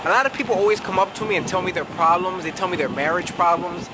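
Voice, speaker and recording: Young man, tipsy advice vlogger, profane and brash, higher voice, outdoors with traffic noise. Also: fast talker. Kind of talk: casual advice vlog, reading viewer letters. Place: outdoors in a city at night.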